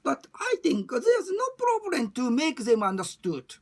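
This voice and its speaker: A woman with a Japanese accent speaking non-native English in a halting, emphatic way, repeating phrases as in an informal personal talk. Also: high voice, huge pitch swings, a little mellow. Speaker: discussion group chair and facilitator. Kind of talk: informal monologue about personal experience.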